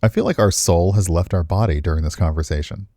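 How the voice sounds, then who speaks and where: A man's voice: low voice, quick pace, mellow, no room sound, studio quality. A man streaming a game with casual, playful commentary and wry, teasing reactions. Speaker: streamer playing and reading aloud a visual novel. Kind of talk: casual playful commentary mixed with reading game text aloud.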